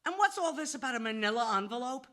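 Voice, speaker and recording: A woman's voice: on the high side, animated, quick pace, a bit bright. An actress playing an exasperated, sarcastic New York woman who works in banking, lecturing in a live audio play. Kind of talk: live stage audio drama.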